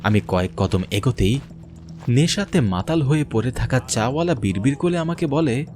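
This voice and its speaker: A man's voice: mid-range voice, expressive, moderate pace, a little mellow, soft background music. A man giving a scripted first-person narration of a horror story, as a government officer looking back. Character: haunted government officer recounting his mine visit.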